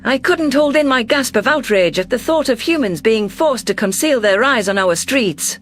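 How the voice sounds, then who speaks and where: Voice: medium pitch, expressive, normal speed, fairly bright tone, faint music behind. A narrator reading first-person science fiction in a scripted, dramatic storytelling style. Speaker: narrator of sci-fi audio stories.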